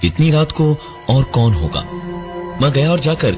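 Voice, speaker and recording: A man narrating a horror story in the first person, dramatically, with suspenseful, deliberate pauses. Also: medium pitch, very animated. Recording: music behind the voice, 3D voice effects. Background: prominent music.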